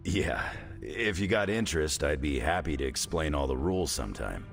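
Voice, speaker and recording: An actor playing a gruff, laconic middle-aged loner hero in a video game, with a rough voice and folksy, laid-back manner. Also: male voice, rumbling low, expressive, moderate pace, in a large hall, over music, exaggerated voice. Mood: friendly, easygoing. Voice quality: gravelly, rough. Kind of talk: video game cutscene acting.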